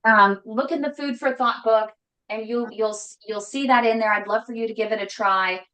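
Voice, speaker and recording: Loud, chatty woman in an echoey kitchen. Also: on the high side, moderate pace. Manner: loud voice that carries, chatty and emphatic. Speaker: host cooking on a live stream. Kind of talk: casual cooking chat. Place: home kitchen.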